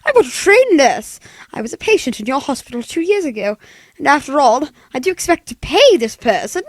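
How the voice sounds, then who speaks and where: Frantic, high-pitched invalid wife. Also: female voice, very animated, a little fast, dry recording. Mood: nervous, imperious. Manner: imperious, nervous, dramatic. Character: frightened, demanding invalid wife alone at night. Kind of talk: old-time radio thriller acting.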